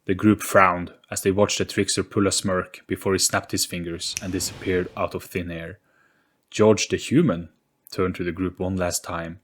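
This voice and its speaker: A man narrating a story as an audio play in a dramatic, scripted storybook style. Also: deep voice. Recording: faint music behind narration. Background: soft background music.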